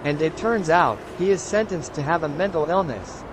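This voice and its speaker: A man voicing a kindly, calm mentor with a measured manner in an audio novel. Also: a little high, background noise, lightly acted. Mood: reflective. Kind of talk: scripted audio novel dialogue. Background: music.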